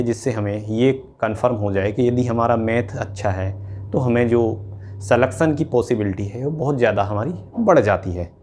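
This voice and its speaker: A man's voice: medium pitch, darkish tone, slight background noise. A man giving informal instructional advice as an exam coach, explaining step by step and often checking in with short tag words. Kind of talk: informal instructional talk to students.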